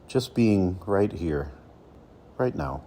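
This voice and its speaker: A man leading a guided meditation as a coach, speaking slowly and soothingly with deliberate pauses between phrases. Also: sits low, lively intonation, mellow, slight background noise.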